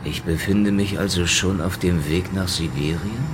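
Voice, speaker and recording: A man's voice: deep voice, normal speed, noisy background, close to an everyday voice. An actor in a German radio play playing a cornered, hard-boiled Soviet secret policeman, defiant, terse and dryly sarcastic. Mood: challenging.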